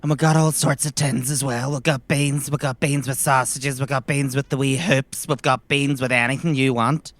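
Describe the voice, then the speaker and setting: A man's voice: medium pitch, steady tone, normal speed, hardly any pauses, fairly bright tone, no echo, natural voice. A man voicing a forthcoming female village shopkeeper with an Irish accent in a tabletop game, chatty and matter-of-fact. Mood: helpful, proud.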